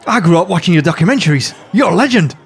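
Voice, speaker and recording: A man voicing an excitable young helicopter pilot who talks eagerly and loudly. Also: high-pitched, quick pace, husky, faint music behind, voice pushed up, exaggerated voice. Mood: excited. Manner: boisterous, eager, shouting with excitement.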